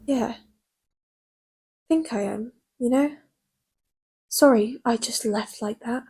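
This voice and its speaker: A subdued, grieving young woman, played by a woman in an audio drama. Her voice is husky and gravelly and sits fairly high. She speaks haltingly, over a faint music bed. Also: warm tone, exaggerated voice.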